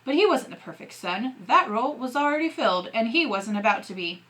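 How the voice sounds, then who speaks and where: A woman teacher reading a novel aloud in an expressive narrating style. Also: fairly high pitch, a little fast, low-quality recording.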